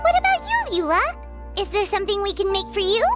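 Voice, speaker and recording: A woman voicing a small, cheerful, childlike fairy companion in a video game, squeaky and eager. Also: sing-song, quick pace. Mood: eager, helpful. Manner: chirpy, eager. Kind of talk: video game character voice acting. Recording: music behind the voice. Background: loud tinkly game music.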